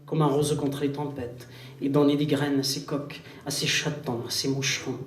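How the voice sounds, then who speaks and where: A man recites poetry in a solemn, declamatory style, with measured phrasing and pauses. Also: medium pitch, little pitch movement. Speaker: poet reciting. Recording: roomy, distant live recital recording. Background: plucked guitar music.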